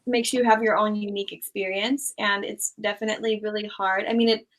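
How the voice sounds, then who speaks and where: A woman's voice: medium pitch, a little fast. A young woman who grew up in Thailand, chatting casually as an interview guest, with a rambling manner full of "like" and "um". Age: young adult. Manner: relaxed, rambling, many "like" and "um" fillers. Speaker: guest, small YouTuber.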